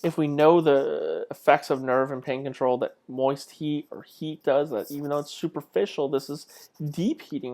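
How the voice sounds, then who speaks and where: A man's voice: on the high side, gravelly, darkish tone. A man delivering an informal narrated slide lecture as a college instructor, conversational and emphatic on key points, often posing questions to his students. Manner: conversational, asks rhetorical questions.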